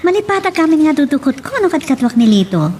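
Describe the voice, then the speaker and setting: A woman's voice: high voice, very animated, fast, darkish tone, slight background noise. A woman with an Ilocano accent playing a sweet, affectionate young daughter in a radio drama. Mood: cheerful.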